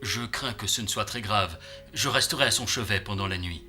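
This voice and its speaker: A solemn cartoon doctor, fairly low in pitch with a very bright, projected tone. He explains gravely and with care, over faint music. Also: fairly quick. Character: grave, caring country doctor. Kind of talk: dubbed children's cartoon acting.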